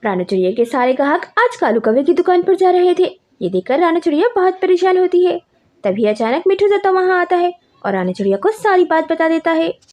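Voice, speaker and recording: High, animated woman storyteller. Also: a little fast. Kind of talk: scripted children's cartoon narration.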